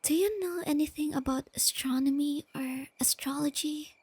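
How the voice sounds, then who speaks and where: A woman playing a shy, nerdy, tender young girlfriend in a soft-spoken ASMR roleplay, with a breathy near-whisper and hesitant, gently stammering delivery, crickets chirping behind her. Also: high-pitched, normal speed, no echo. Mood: earnest, gently teasing. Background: crickets and night insects.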